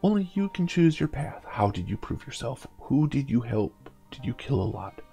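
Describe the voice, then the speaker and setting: Man reading as a solemn gravelly knight guardian. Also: medium pitch, big pitch swings, normal speed, mellow, soft background music. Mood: stern, challenging. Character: stern male knight guarding a magic portal, solemn.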